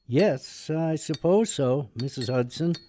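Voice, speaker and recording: A man's voice: on the high side, expressive, slow pace, mellow, a little hiss or hum. A man playing a reserved, uneasy debt collector in a radio drama, terse, polite and hesitant. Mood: uneasy, gentle.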